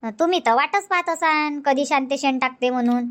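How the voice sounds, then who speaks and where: A woman voicing a chatty, sharp-tongued village housewife in a dubbed cartoon comedy, scolding and complaining. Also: sits high, normal speed, natural voice.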